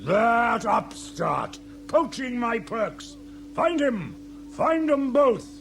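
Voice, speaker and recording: An actor playing a vain, petulant spaceship captain in a comic radio drama, pompous and theatrical. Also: male voice, extremely high pitch, sing-song, drawn-out words, gravelly, fairly bright tone, a little hiss or hum, full character voice. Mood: jealous outrage. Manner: pompous, drawling, theatrical outbursts.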